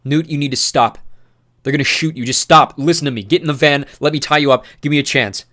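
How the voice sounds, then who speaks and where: A man's voice: on the high side, lively intonation, brisk, pitched above the performer's own voice, natural voice. A man voicing a frightened young man urgently pleading with his friend.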